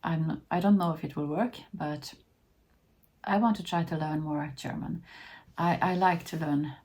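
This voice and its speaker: Soft-spoken Swedish woman in her fifties. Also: deep voice, measured pace, slightly rough. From Sweden. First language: Finnish. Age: 50s. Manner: hesitant, with many restarts and soft ums. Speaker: vlogger. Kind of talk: casual talk to camera.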